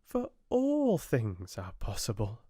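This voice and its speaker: A man's voice: wildly swooping pitch, slow pace, warm tone, no echo, studio quality, full character voice. A man voicing small, airy nature spirits calling out in a fairy tale audiobook. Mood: joyful, reassuring. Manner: calling out from on high.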